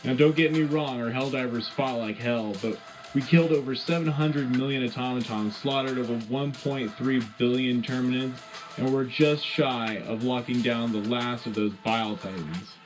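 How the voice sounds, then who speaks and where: An actor playing a gung-ho radio news host in a sci-fi war, delivering scripted bulletins in an urgent, folksy, rallying way. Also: male voice, mid-range voice, fairly even intonation, normal speed, close to an everyday voice, poor audio. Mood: grave, frank. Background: music.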